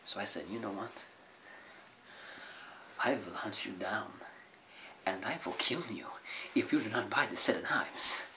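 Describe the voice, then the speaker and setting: A deadpan, quietly menacing oddball, played by a man in an improv comedy bit. His voice sits low but has a bright, forceful edge. He speaks in halting, stiffly phrased sentences. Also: a little hiss or hum, rough recording.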